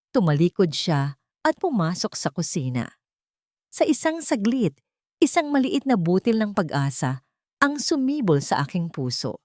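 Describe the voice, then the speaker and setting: Woman narrator with a lowish, dry voice. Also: a little mellow, no room sound. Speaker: story narrator. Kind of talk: scripted first-person dramatic narration.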